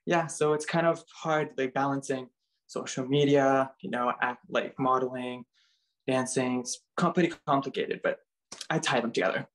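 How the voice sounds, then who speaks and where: A man's voice: mid-range voice, steady tone, moderate pace. A young man raised in Canada chats easily as an interview guest. His talk is loose and rambling, scattered with "like" and "um". His voice sits in the middle of the male range. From Bulgaria, raised in Canada. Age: young adult. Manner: chatty, many fillers like "like" and "um". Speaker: interview guest, model and dancer. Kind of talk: casual chat.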